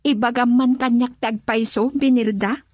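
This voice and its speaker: A woman's voice: fairly high pitch, normal speed, dry recording, clean studio sound, close to an everyday voice. An actress voicing a curious young woman pressing her cousin with questions in a radio drama. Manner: inquisitive, lively questioning.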